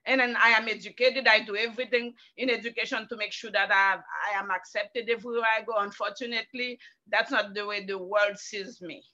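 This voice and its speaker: A woman's voice: medium pitch, fairly even intonation, a little fast, hardly any pauses, sharp tone, muffled recording. A middle-aged woman educator from Haiti with a Haitian French accent, giving a personal testimony. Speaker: educator, workshop participant.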